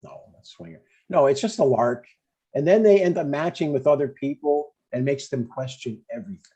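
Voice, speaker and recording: A man's voice: medium pitch, brisk, dark tone, husky, lightly acted. A man playing an older widowed father and retired deacon, earnest, blunt and a little hesitant, in a scripted comedy table read. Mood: mild, reflective. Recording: dull, lo-fi sound.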